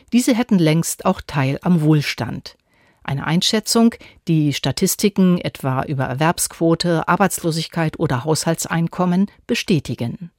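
A woman reporter narrating a scripted radio feature in a measured, even reading. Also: sits low, no room sound, studio quality.

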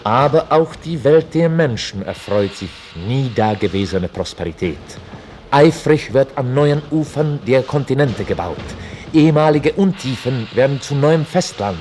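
An actor playing a chronicler, narrating a mock history in a formal, matter-of-fact way for a radio play. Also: male voice, medium pitch, animated, normal speed, a little mellow, a little hiss or hum, close to an everyday voice.

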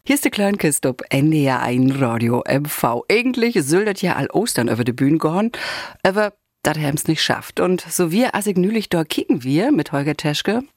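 A woman with a northern German Low German accent, presenting a scripted radio programme. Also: sing-song, moderate pace, darkish tone, no echo, studio quality.

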